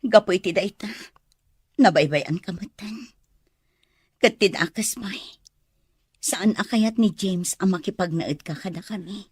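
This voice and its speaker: A woman's voice: medium pitch, measured pace, long pauses, darkish tone, slightly rough, no room sound, studio quality. A woman playing a remorseful, worried mother in an Ilocano radio drama, tender and emotional. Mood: remorseful, pained. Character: remorseful, worried mother visiting her son.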